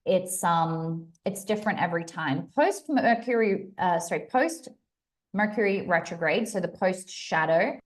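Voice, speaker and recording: A woman's voice: lowish voice, animated, unhurried. Chatty woman, Australian-American accent. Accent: Australian mixed with American. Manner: chatty and upbeat, many fillers and restarts. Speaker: live stream host. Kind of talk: casual live stream chat to viewers.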